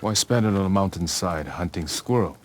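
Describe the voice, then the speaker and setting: An actor playing a stoic, dutiful samurai outlaw in a dramatic game cutscene, speaking in a solemn, measured, earnest manner. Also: male voice, deep voice, a little hiss or hum, lightly acted. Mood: firm, persuasive. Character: stoic, dutiful samurai man turned outlaw, guilt-laden but resolute.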